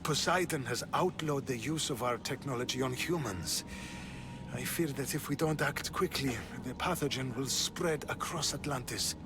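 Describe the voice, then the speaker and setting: An actor playing an earnest, articulate, idealistic scientist prince from an ancient advanced race in a video game drama. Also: male voice, medium pitch, normal speed, background noise, close to an everyday voice. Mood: earnest, urgent. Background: music.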